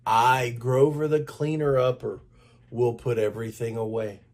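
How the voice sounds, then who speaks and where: A man's voice: mid-range voice, steady tone, measured pace, darkish tone, close to an everyday voice. A man voicing a shy, eager-to-please young monster in a children's storybook, with earnest, childlike, formal speech.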